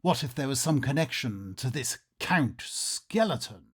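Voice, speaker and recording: An actor playing a curious, polite Victorian nobleman in a radio play, melodramatic and genteel, with a husky, breathy voice. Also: mid-range voice, animated, a little slow. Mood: wondering, suspenseful. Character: curious, polite Victorian nobleman narrating his eerie adventure. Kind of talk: theatrical radio drama narration and dialogue.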